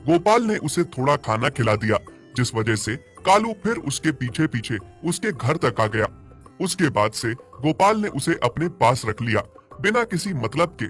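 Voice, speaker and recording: A man's voice: medium pitch, fairly bright tone. A man narrating a scripted moral story as a storyteller.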